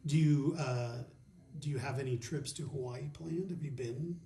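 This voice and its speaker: A man hosting a casual interview podcast, chatty and upbeat, asking quick questions. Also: mid-range voice, vocal fry, echoey room, noisy background. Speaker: podcast host.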